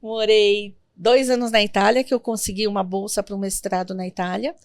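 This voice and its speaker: A Brazilian woman in her fifties, a guest in an interview, telling family stories in a reflective, gentle way. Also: mid-range voice, level tone, a little fast, no room sound. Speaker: guest, sworn translator. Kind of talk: interview conversation.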